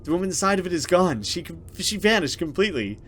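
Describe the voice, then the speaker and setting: Guy playing a scared young woman in a horror game, urgent n dramatic, pitch jumps around, faint noise. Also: big pitch swings, fast, fairly bright tone. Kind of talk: dramatic visual novel read-aloud.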